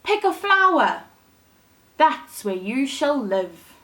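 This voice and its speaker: A woman voicing a small male swallow, a grateful, gentle and earnest friend in a children's storybook. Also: high voice, big pitch swings, slow pace, exaggerated voice. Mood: excited, encouraging.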